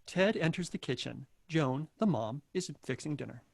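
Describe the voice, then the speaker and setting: A man's voice: on the high side, expressive, moderate pace, vocal fry. A man hosting a table read, reading out introductions and stage directions. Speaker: host and narrator of a table read.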